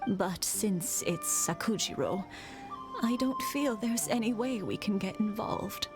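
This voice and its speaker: A woman playing a slow, wistful old mother. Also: medium pitch, animated, close to an everyday voice. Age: older. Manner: slow, nostalgic and soft. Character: elderly mother, kind, wistful. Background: game music.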